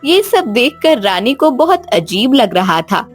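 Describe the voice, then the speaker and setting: High-pitched woman storyteller. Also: very animated, unhurried, slightly rough, faint music behind. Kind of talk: scripted narration of a children's cartoon story.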